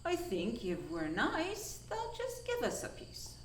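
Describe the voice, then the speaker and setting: A woman's voice: fairly high pitch, wildly swooping pitch, takes it slowly, distant mic, a little hiss or hum, exaggerated voice. Woman as a commanding, weary wolf leader, roomy sound. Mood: fed up. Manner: emphatic, commanding. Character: weary, forceful male wolf, leader of animals.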